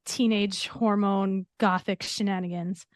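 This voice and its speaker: A woman's voice: mid-range voice, fairly even intonation, measured pace, no echo, studio quality. A woman in her forties, a guest on a film podcast, talking frankly and enthusiastically, with casual swearing.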